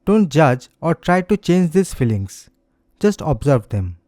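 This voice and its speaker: A man guides a relaxation meditation in a gentle, soothing way. His voice is soft-edged and mellow, a little high for a man, with pitch that rises and falls freely through each unhurried instruction. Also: sing-song, no echo, studio quality. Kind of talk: slow guided meditation, scripted narration.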